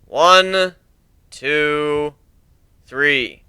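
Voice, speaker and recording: A man's voice: a little high, extremely slow, pushed and bright, clean tone, dry recording, exaggerated voice. A man voicing a cocky, jaded male griffin pirate captain with a lazy, sardonic air of command, in a fantasy story reading.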